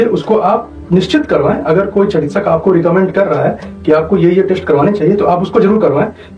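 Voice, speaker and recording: A man's voice: high voice, a little mellow. A man explains medical matters didactically as an interview guest, repeating points and checking that he is understood. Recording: dull, echoey, distant mic, faint music behind.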